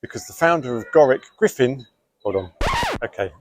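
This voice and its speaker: A man's voice: medium pitch, expressive, brisk, no room sound. A man hosting a video, narrating a script with a playful, jokey delivery. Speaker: video host.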